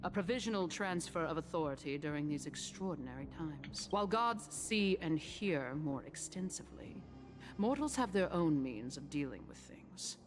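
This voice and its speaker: A sly, haughty female elder of a council in a video game. Her voice sits low, with formal, condescending, deliberate phrasing and veiled threats over clear music. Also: animated. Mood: haughty warning. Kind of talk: scripted video game acting. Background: game soundtrack music.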